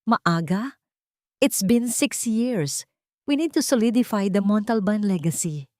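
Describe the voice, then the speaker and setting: A woman's voice: medium pitch, expressive, measured pace, darkish tone, no echo, clean studio sound, pitched above the performer's own voice, lightly acted. Domineering rich matriarch, older, firm and scolding, for a romance audio story; played by a female narrator. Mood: haughty, firm. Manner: firm, scolding, switches between Tagalog and English. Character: haughty, domineering older wealthy mother.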